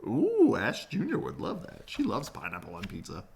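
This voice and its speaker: A man reading a children's picture book aloud in an expressive storytelling way. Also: lowish voice, moderate pace, gravelly, husky.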